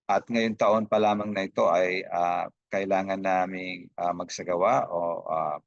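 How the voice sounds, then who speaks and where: A Filipino man giving unscripted opening remarks as a host, halting often with hesitations and broken-off words. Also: low voice, level tone, gravelly, highs cut off. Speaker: host, institute director.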